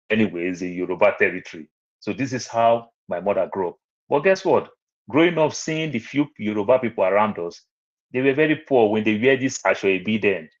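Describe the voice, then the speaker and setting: A man with a Nigerian accent, rambling through personal stories on an online panel. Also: lowish voice. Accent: Nigerian English. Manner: rambling, storytelling, repeats phrases. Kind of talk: casual online panel talk. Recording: muffled lo-fi online audio.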